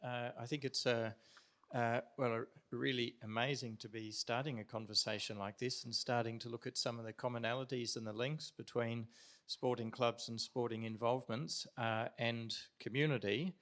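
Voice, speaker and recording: A middle-aged man speaking on a panel, measured, with many uhs. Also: mid-range voice, fairly even intonation, fairly bright tone. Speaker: psychologist, disaster recovery panelist. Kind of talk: panel discussion.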